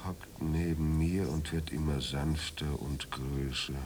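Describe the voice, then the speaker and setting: A man's voice: a bass voice, fairly even intonation, takes it slowly, a little mellow. An actor in a radio drama playing a weary, ironic, hard-drinking male writer, theatrical and wryly courteous. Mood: weary, melancholic. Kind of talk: German radio drama acting.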